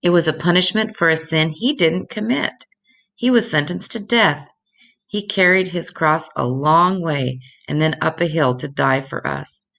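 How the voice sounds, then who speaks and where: An older woman reading Bible stories aloud to children in a deliberate, instructive way, addressing them directly. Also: low-pitched, vocal fry, fairly bright tone.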